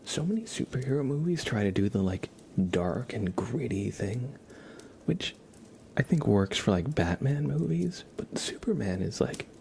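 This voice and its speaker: A man doing casual ASMR live-stream chat in a soft, whispery, hushed voice, rambling informally. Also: medium pitch, sing-song, moderate pace, creaky, a little hiss or hum.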